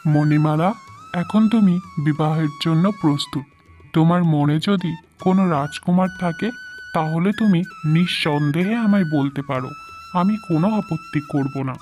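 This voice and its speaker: A man's voice: a little high, moderate pace, a little mellow, close to an everyday voice. A man playing a proud, doting king and father in a fairy-tale cartoon, speaking formally. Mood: earnest, questioning. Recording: music under the voice.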